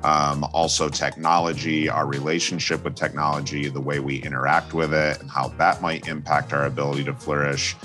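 A man's voice: extremely low pitch, level tone, brisk, hardly any pauses, music in the background. A man co-hosting a podcast, friendly and enthusiastic in casual chat. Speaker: podcast co-host.

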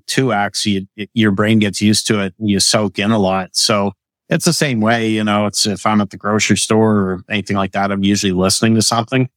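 A man speaking as a guest on a podcast, relaxed and easygoing, laughing at himself as he chats. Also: on the low side, no room sound. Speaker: podcast guest, business coach. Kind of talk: casual interview chat.

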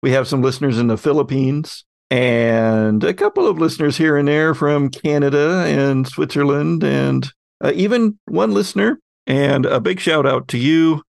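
A man hosting a casual podcast chat in a halting, measured way. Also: on the high side, creaky, dry recording, studio quality. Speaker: podcast host.

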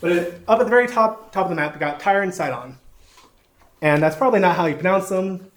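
A Southern man preaching from the Bible and pointing at a map as he teaches. His voice sits high for a man. He is folksy and pokes fun at himself, and he leaves plenty of uh's along the way. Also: quick pace, fairly bright tone. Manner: folksy, self-deprecating, with frequent uh fillers. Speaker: pastor.

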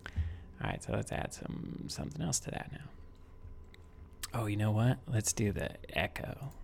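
A man talks in a soft, unhurried way on a chill music stream, drifting through fillers and half-finished thoughts. His voice carries a heavy gravelly fry, and a faint hiss sits under the recording. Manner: soft, low-key, rambling with many fillers. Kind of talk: relaxed live-stream chat while making music.

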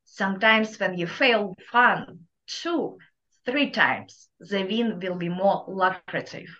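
Slow-spoken woman with a thick Russian accent. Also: medium pitch, lively intonation, bright, forceful tone, dull audio. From Kazakhstan. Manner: deliberate, earnest, motivational. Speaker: guest entrepreneur. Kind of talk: interview answers, advice.

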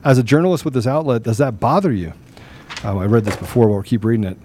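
A man's voice: mid-range voice, quick pace, a little mellow, slight background noise. A man hosting a live political talk show in a casual style, with a habit of repeating phrases for emphasis. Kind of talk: casual live talk, sometimes reading questions aloud.

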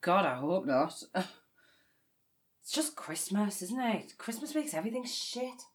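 Grieving sister, wry and dramatic, dark humour, very varied intonation, audio drama. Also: female voice, mid-range voice, very animated, unhurried. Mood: subdued, rueful. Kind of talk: naturalistic audio drama acting, comedy and pathos.

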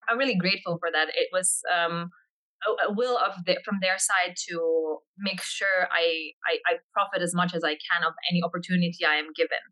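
A woman's voice: lowish voice, pushed and bright, poor audio. A young woman from Poland whose first language is Polish, answering interview questions thoughtfully with frequent fillers. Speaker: interview guest.

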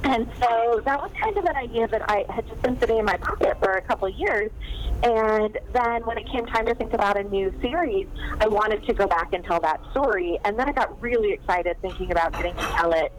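A woman author talking casually in an interview, chatty and animated, with plenty of fillers and laughs. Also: medium pitch, a little fast, low-quality recording. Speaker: guest author. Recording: faint background noise.

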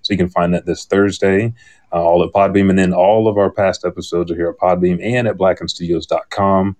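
A man's voice: sits low, fairly even intonation, fairly quick, darkish tone. A man who podcasts, talking casually as a guest on a live show.

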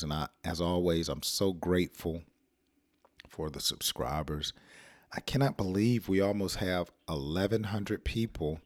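American man, hoarse voice, very low pitch, dry close sound, playful teacher. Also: dry recording. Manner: playful, acts out short example sentences. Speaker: English teacher. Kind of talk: casual spoken English lesson.

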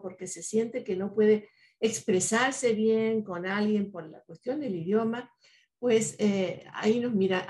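Woman, native Spanish speaker, earnest, reflective, medium pitch, fillers and tag questions. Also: darkish tone. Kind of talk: reflective podcast talk.

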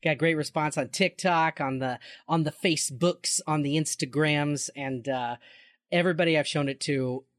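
A man's voice: fairly high pitch, moderate pace, no echo. Forty-year-old man, dry close sound. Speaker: podcast co-host. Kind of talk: casual chat.

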